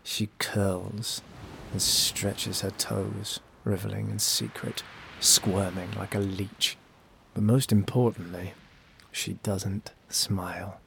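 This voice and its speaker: Low, wry disgraced nobleman. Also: flat delivery, slow pace, gravelly, a bit bright, a little hiss or hum, full character voice. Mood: bitter. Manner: theatrical, literary, sardonic storytelling. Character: cunning, disgraced young noble heir on trial, wry and bitter. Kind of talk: first-person narration in a high fantasy audio drama.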